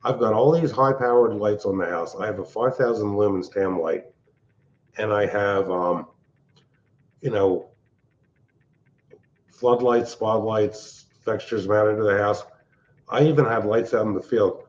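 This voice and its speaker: An older man chats on a late-night livestream, his voice loud and pitched on the low side. He talks in a blunt, rambling, irritable way, speaking from a big open-plan house. The audio is dull and lo-fi. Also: unhurried, long pauses. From Pennsylvania. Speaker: livestream host. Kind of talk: casual livestream chat.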